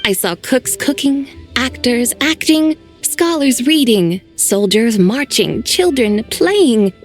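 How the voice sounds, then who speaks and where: Fairly high female voice, stately and archaic, a teasing ancient spirit, soft game music under. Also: animated, slow pace. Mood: reflective. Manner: archaic, formal phrasing, dry teasing. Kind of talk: video game voice acting.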